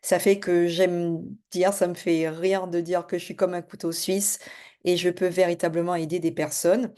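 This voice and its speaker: A middle-aged woman with a low, mellow voice introduces herself to her viewers. She talks quickly and casually, stumbling over many hesitations as she goes. She is from French Guiana and works as a coach. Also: little pitch movement. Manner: fast-talking, hesitant with many fillers. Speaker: spiritual and business coach. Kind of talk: casual self-introduction to camera.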